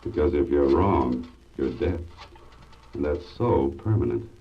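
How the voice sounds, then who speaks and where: An actor playing a wry, cool-headed private investigator in 1940s radio style, with a sardonic way of talking. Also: male voice, very deep voice, lively intonation, unhurried, slight background noise. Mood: tense, musing. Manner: sardonic, talks to himself in narrated asides. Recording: dull vintage radio recording, music behind.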